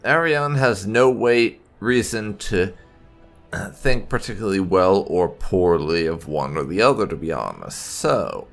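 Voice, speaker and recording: A man hosting a video game playthrough, explaining things in halting, unscripted commentary as he thinks aloud. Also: low voice, sing-song, creaky, faint music behind. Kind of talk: unscripted let's-play commentary.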